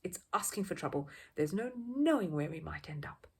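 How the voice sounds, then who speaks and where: A stern older housekeeper from a children's storybook, acted out by a woman reader. She grumbles darkly in a low, slightly husky voice, full of disapproval. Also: expressive, normal speed. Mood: grumbling disapproval. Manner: dark, grumbling.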